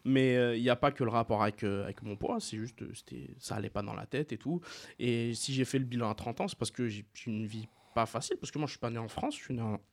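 A man's voice: medium pitch, fast talker, vocal fry. A man in his thirties from Algeria, talking candidly as a guest in a casual conversation and telling personal stories.

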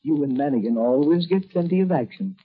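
An actor playing a calm, thoughtful older scientist and mentor in a 1940s radio drama, on a thin tinny old recording. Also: male voice, a little high, fairly quick, breathy edge.

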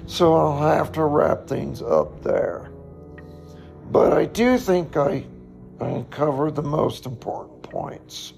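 A man hosting a solo podcast, reflecting in a slow, halting way with a hoarse, raspy voice. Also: a little high, darkish tone, soft background music. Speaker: podcast host.

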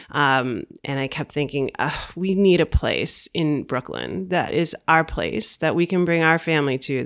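A woman's voice: low-pitched, fairly bright tone. A woman business owner being interviewed, telling her story in a casual, conversational way. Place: small office room.

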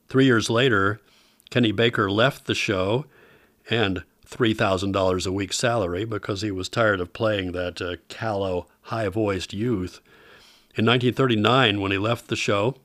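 Low-voiced radio program host. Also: male voice, a bit bright. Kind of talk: informative scripted introductions.

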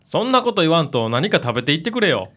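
A woman's voice: sits low, very animated, fast, a bit bright, full character voice. A woman acting as a friendly, casual young man minding a cafe in a radio drama, with a folksy Kansai accent. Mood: breezy, welcoming. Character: breezy, friendly male freeter acting as cafe manager.

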